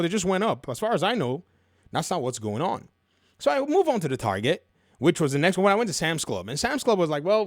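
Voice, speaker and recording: A man with a high voice that swings widely in pitch, recorded dry and close. He talks like a commentary host, casual and opinionated, leaning on repeated phrases to drive points home. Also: dry recording. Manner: emphatic, repeats phrases for effect, colloquial. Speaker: video game commentary host.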